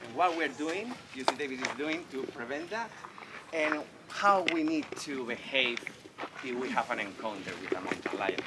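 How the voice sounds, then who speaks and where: Spanish-accented man lecturing outdoors. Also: medium pitch. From Spain. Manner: enthusiastic, friendly, asks the audience questions. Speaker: wildlife veterinarian giving a talk. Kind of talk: public lecture. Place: outdoor festival. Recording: noisy outdoor festival recording.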